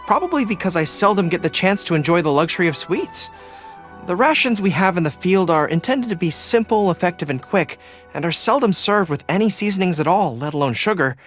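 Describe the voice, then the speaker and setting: A man's voice: high-pitched, a bit bright. A man voicing an earnest, loyal young dog-eared general in a video game, polite and dutiful, speaking as a young adult. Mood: candid, sheepish. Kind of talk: video game character voice lines. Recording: studio voice over background music. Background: music.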